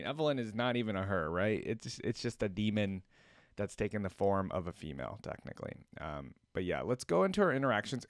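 A man's voice: mid-range voice, dry recording. A young American man in his twenties giving casual reaction commentary as a video host, speaking close to the mic.

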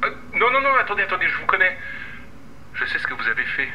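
A man's voice: high-pitched, sing-song, fast talker, lo-fi sound, very noisy, pitched above the performer's own voice. A man playing a slimy, obsessive anonymous caller in an audio drama, hesitant and cloyingly polite. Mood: nervous, fawning. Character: creepy male anonymous caller, slimy obsessive psychopathic harasser. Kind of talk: audio fiction phone drama.

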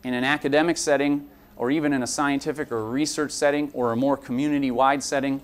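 A man's voice: mid-range voice, fairly even intonation, fast, clear, resonant tone. Young man giving an earnest lecture. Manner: earnest, explanatory, gesturing while talking. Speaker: college instructor. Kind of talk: lecture to students. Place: conference room with audience.

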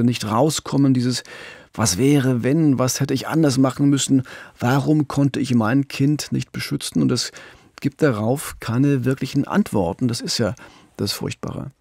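A man with a creaky voice talks as a true-crime podcast co-host. He explains in a reflective manner, and the sound is close and dry. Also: medium pitch, a little mellow, no room sound.

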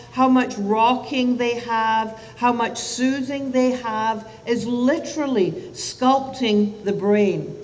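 A Scottish woman in her sixties, a physician giving a public talk to parents at a lectern microphone, animated and asking the audience questions. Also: on the high side. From Scotland. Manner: animated, gestures with both hands, asks the audience questions. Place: lecture hall at a lectern. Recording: roomy hall sound from a distance.

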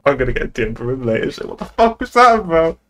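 A man's voice: fairly high pitch, sing-song, rapid-fire, a bit bright. A young man playing a police boss on a roleplay game stream, chatting casually in an understated, polite but pointed way. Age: young adult. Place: indoors at a streaming desk.

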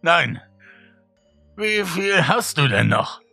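A sinister smiling man, as a horror narrator puts him on, speaks slowly in a raised, sugary voice. He is polite and cruel, a menacing dealer of happiness, with faint music behind him. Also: on the high side, very animated, gravelly, pushed and bright, no echo, voice pushed up, heavily acted. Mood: falsely sweet. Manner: sweetly polite, slow, menacing.

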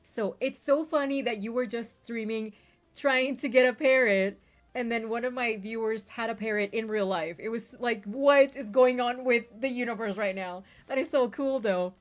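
A woman streamer whose first language is not English, chatting excitedly with raiders and telling stories quickly. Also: a little high. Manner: excitable, bubbly, quick storytelling. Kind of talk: casual stream chat.

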